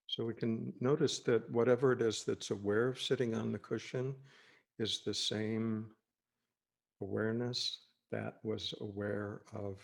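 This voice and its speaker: A man with a low, even voice and a noticeable fry guides a meditation. He speaks as a teacher thinking aloud, in short halting phrases with pauses. His manner is gentle and reassuring. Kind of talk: guided meditation, unscripted spoken instruction.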